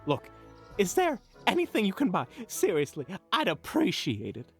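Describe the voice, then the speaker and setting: A man plays a desperate fantasy merchant, talking in a folksy, excitable ramble. His pitch leaps up and down wildly as he pleads, with music playing underneath. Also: moderate pace, vocal fry, husky, heavily acted. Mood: pleading. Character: desperate, chatty male merchant who was just robbed. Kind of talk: fantasy audio roleplay acting.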